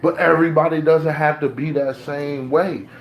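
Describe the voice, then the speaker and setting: A man's voice: on the high side, steady tone, normal speed, a little hiss or hum. A man from Ohio, ranting casually in loose, slangy talk and preaching his views. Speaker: beatmaker talking to camera. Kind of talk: casual rant.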